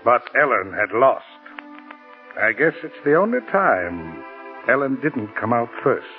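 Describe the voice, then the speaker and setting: An actor playing a reflective defense lawyer recounting a case in measured, wistful 1940s radio drama dialogue. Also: male voice, medium pitch, very animated. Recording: narrowband 1940s radio recording with music.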